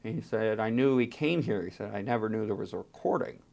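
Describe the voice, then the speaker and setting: A man being interviewed, telling a personal story with dramatic emphasis and pauses. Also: on the low side, animated, vocal fry, a little mellow. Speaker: interviewee, archive fellow and translator.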